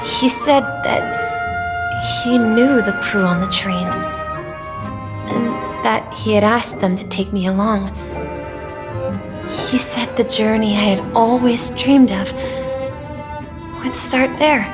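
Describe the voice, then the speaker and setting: A woman voicing an earnest, wistful young boy in a video game, telling his memories in a careful, reflective way. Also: on the high side. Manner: slow, careful, reflective delivery. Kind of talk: video game voice acting, storytelling. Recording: game music behind the voice. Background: background game music.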